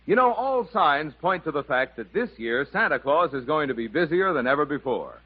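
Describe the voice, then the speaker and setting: Animated 1950s radio announcer, a man. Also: a little high, normal speed. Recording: slightly dull 1950s radio recording.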